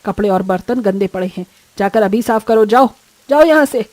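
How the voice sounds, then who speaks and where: A woman's voice: medium pitch, normal speed, a little mellow, no room sound, lightly acted. A woman voicing an imperious, contemptuous middle-aged mother-in-law in a cartoon story. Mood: scornful, bossy. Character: haughty, scolding rich mother-in-law in a cartoon. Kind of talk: Hindi cartoon morality story acting.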